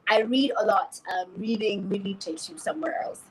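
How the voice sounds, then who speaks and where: A woman's voice: medium pitch, normal speed, very noisy. A woman from Tanzania and Cameroon, a French speaker, talking casually about herself in an interview. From grew up in Tanzania, born in Cameroon. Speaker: podcast host, journalist and entrepreneur.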